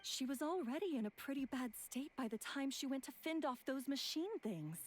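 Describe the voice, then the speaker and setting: A woman's voice: sits high, animated, moderate pace, darkish tone. A woman voicing a worried, polite young traveller in a video game with an earnest delivery.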